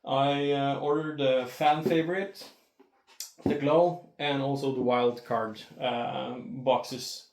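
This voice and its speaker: A man's voice: mid-range voice, steady tone, a little mellow, roomy sound. A man from Norway with a Norwegian accent, giving casual, hesitant commentary as an amateur showing products on video. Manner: hesitant, halting.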